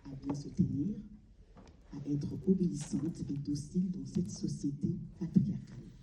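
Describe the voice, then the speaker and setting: A woman from Mali delivering a solemn, deliberate theatrical monologue, pausing between short phrases. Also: deep voice, mellow, slight background noise. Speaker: amateur stage performer. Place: large cinema auditorium before an audience. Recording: echoey hall, distant mic.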